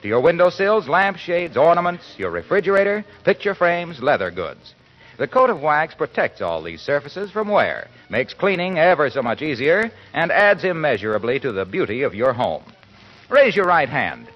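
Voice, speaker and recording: A dull vintage radio recording with an American male announcer, slightly high and husky. He delivers programme and sponsor copy in 1940s style with very lively pitch. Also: normal speed.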